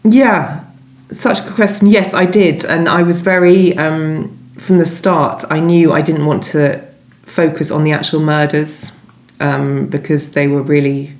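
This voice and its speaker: A woman novelist being interviewed, talking conversationally in a thoughtful, hesitant and halting way. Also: low voice, level tone, a little mellow. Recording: echoey room sound.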